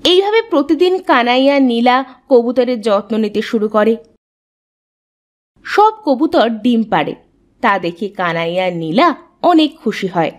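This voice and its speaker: Animated, high-voiced woman narrator. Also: measured pace, long pauses. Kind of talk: scripted storybook narration.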